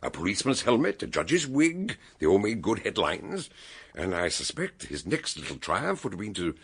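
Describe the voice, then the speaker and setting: An actor playing a shrewd, commanding older British gentleman detective in a radio drama, booming and declamatory. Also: huge pitch swings, moderate pace, breathy edge, full character voice. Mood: explaining, excited.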